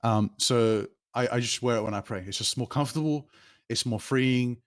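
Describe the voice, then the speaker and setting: A young man chatting casually on a stream, animated and quick to laugh. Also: mid-range voice, no room sound, clean studio sound. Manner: animated, laughs often, says bro a lot. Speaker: streamer guest. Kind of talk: casual chat.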